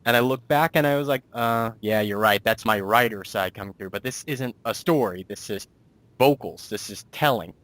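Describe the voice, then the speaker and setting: A man's voice: on the low side, normal speed, a bit bright, dry recording. A man hosting a casual interview as a writer and producer, talking in a rambling, self-deprecating way.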